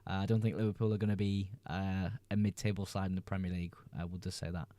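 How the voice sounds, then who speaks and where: A young Englishman with a lowish, mellow voice chats through viewer questions on a livestream. He reads each message aloud, then answers in a relaxed, easygoing way. The sound is dry and close, with no room around it. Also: fast talker, dry recording. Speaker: livestream host. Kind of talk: casual livestream chat answering viewer comments.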